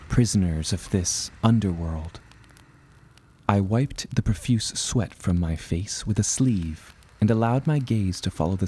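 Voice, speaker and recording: A man narrating a scripted first-person adventure story in a measured, dramatic way. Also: lowish voice, mellow, slight background noise.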